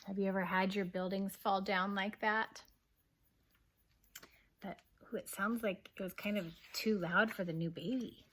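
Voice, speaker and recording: A woman, a kindergarten teacher, reads a picture book aloud gently and expressively, pausing to ask young listeners questions. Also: mid-range voice, steady tone.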